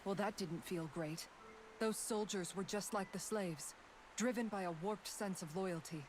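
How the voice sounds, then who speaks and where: A woman's voice: medium pitch, measured pace, slight background noise, lightly acted. A woman voicing an earnest, reflective young warrior. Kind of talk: video game voice acting. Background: game music.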